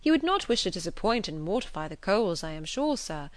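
A woman voicing a hearty, eager middle-aged gentleman who speaks emphatically and persuasively, in an audiobook. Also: medium pitch, sing-song, fast, dry recording, close to an everyday voice.

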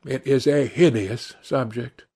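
A man voicing a troubled, melancholy young gentleman in an audiobook, plaintive, earnest and polite. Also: medium pitch, measured pace, husky. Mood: strained politeness.

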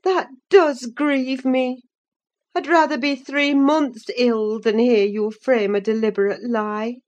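A woman's voice: fairly high pitch, steady tone, slow, darkish tone, highs cut off, voice pushed up. A woman voicing a concerned woman servant who chides her young mistress earnestly in an audiobook. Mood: sorrowful reproach. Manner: earnest, sorrowful chiding. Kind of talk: dramatised audiobook character voice.

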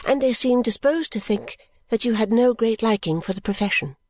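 A woman voicing a sensible, caring young woman in an audiobook, earnest and gently reasoning. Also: a little high, moderate pace, no echo, clean studio sound, natural voice. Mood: gently probing. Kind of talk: audiobook character dialogue.

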